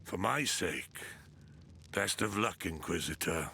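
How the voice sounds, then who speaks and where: An actor playing a stern, weary military commander in a fantasy video game, curt, authoritative and sardonic. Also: male voice, low-pitched, slow, gravelly, noisy background, exaggerated voice. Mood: stern, businesslike.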